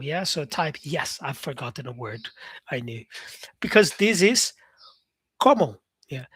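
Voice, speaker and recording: A man's voice: high voice, a bit bright, husky, no echo. A man teaching English on a live stream, chatting casually with viewers and reading out their comments, with many fillers.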